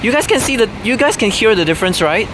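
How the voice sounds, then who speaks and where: A man's voice: high-pitched, animated, fast. Rambling young man over background hum. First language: English. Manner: rambling, many fillers and restarts. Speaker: vlogger. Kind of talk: unscripted casual monologue to camera.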